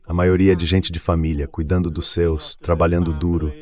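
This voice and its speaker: A deep-voiced man talks politics as a guest on an interview show. His delivery is conversational and emphatic, repeating phrases to press the point. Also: flat delivery, a little fast, a little mellow.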